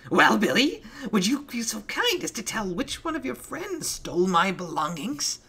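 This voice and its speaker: A man's voice: high-pitched, huge pitch swings, moderate pace, pushed and bright, husky, pitched above the performer's own voice, heavily acted. A man voicing a cruel, deranged female monster in a horror story, with mocking, sing-song politeness. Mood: menacing, taunting.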